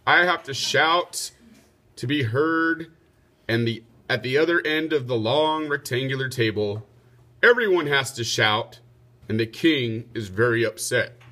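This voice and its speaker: An earnest, put-upon storybook knight, read by a man. His voice is bright and forceful, with an expressive delivery. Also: medium pitch, measured pace. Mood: groaning, weary. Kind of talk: children's storybook read-aloud.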